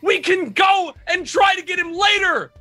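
A player in a tabletop roleplaying game, shouting urgent orders in character. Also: male voice, extremely high pitch, a little slow, soft background music.

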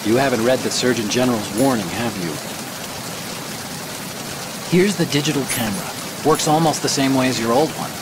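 A man's voice: medium pitch, moderate pace, pauses often, noisy background, close to an everyday voice. A man voicing a confident, talkative hacker who supports a field agent in a video game, conversational and wry. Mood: teasing, then explaining. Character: confident, chatty male hacker support partner.

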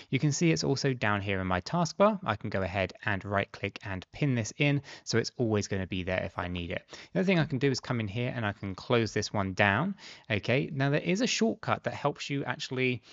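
Gravelly man giving a casual software tutorial. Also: lowish voice, dry recording, lo-fi sound. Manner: conversational, step-by-step explaining with tags like "right?". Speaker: tutorial host. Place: bright high-rise office room, indoors. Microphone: close desk microphone.

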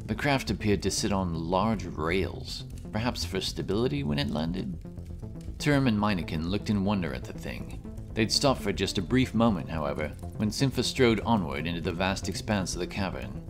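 A man narrates a fantasy adventure from a script. His voice is deep and moves expressively up and down, over clearly audible music. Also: big pitch swings, moderate pace.

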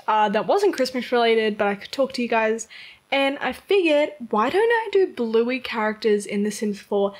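A young Australian woman chatting casually over a life-simulation game, rambling with lots of "like" and small laughs. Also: a little high. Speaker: Sims content creator. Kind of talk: casual chat over gameplay. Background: rain heard outside.

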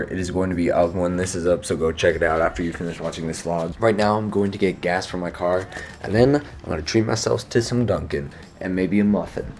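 A man's voice: deep voice, darkish tone, a little hiss or hum. A young man chatting casually to a handheld camera for his vlog, rambling with lots of fillers, first in his bedroom and then in his car. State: groggy and hoarse after waking. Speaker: vlogger. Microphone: handheld camera at arm's length.

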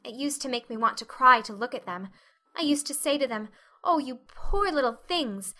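Woman as a bright, chattering, dreamy young girl. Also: high voice, lively intonation, moderate pace. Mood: eager, happy. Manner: chatty, effusive, earnest. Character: talkative, dreamy, dramatic eleven-year-old orphan girl. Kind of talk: dramatic audiobook reading.

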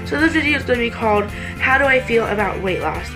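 A woman's voice: on the high side, lively intonation, fast talker, music in the background. A woman in her late teens with congested sinuses, chatting casually and hesitantly to camera as a vlogger, easily distracted. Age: late teens, almost 18. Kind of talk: casual rambling chat to camera. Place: small bedroom, indoors.

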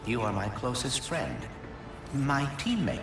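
A man's voice: mid-range voice, big pitch swings. A man voicing a small, logical robot sidekick in a video game, speaking formally and precisely. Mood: sincere. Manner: formal, precise, measured wording. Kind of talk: video game cutscene acting. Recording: loud music behind.

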